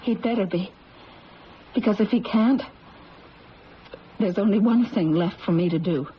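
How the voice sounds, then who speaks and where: A woman's voice: medium pitch, measured pace, close to an everyday voice. An actress playing an anguished, defiant young mother with earnest, intense delivery in a radio mystery drama. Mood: grim resolve. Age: young adult. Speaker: actor. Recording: muffled, hissy old radio broadcast.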